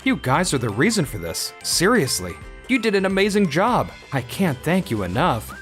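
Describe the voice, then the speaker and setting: A man's voice: on the high side, wildly swooping pitch, moderate pace, fairly bright tone, faint music behind. A man playing an earnest, modest young office worker in a manga dub, with a sincere, humble manner. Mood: grateful, upbeat. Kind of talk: manga dub narration and dialogue.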